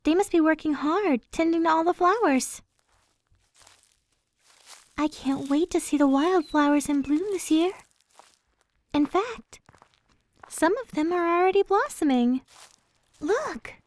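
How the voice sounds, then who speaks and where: High, sweet-voiced gentle maid, acted by a woman. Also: measured pace, pauses often, no echo. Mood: cheerful, tender. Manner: soft, sweet, tender, hesitant when confiding. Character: gentle, devoted young maid with a sorrowful past. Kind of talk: ASMR roleplay.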